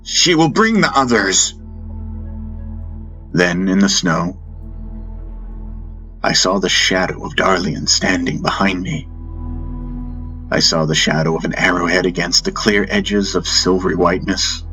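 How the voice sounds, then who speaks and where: A man's voice: low voice, little pitch movement, measured pace, long pauses, rough recording. A man narrating a fantasy audiobook in the first person, with intense, solemn, dramatic storytelling. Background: music bed.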